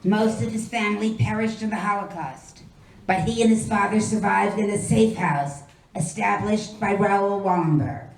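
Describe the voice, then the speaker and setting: A woman presenting a ceremony, calling people up in a measured way with short introductions. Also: mid-range voice. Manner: measured, announcing names and short bios. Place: hall at a memorial ceremony. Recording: echoey hall, mic at a distance, faint ambience.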